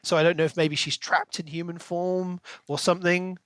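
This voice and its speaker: Male podcast co-host, slightly high voice, dry close sound, explains his reasoning in casual book discussion. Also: little pitch movement, normal speed, a bit bright, no echo. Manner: analytical, explanatory.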